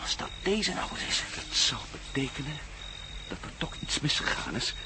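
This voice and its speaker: A man's voice: fairly high pitch, big pitch swings, moderate pace. A man acting a wary, wry adventurer in a Dutch radio play, with a Dutch accent. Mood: pondering. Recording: noisy old radio drama with outdoor ambience.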